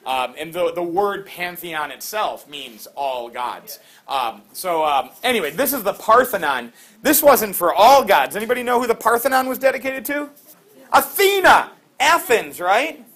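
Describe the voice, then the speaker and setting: A middle-aged man teaching a class, lively and quizzing students with quick questions. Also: high voice.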